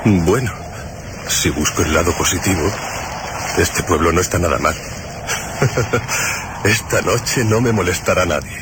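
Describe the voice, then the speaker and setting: Cocky traveller in a radio play: low voice, slow sardonic muttering to himself, clear background noise. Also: male voice. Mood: wry amusement. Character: cocky lone male driver, talking to himself, mocking danger. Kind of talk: radio drama acting.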